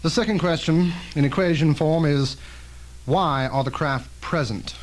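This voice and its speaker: Guy acting a cautious science doctor in an old radio play, pretty high voice, formal n precise, hummy tape. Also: a little slow, close to an everyday voice. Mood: explaining. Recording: noisy old tape with hum.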